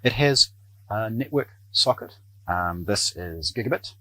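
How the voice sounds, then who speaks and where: A middle-aged man presenting an unscripted product unboxing in a measured, explanatory way. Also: fairly low pitch, expressive, bright, forceful tone, dry recording. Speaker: presenter of an audio shop's product videos. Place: small indoor room lined with shelves.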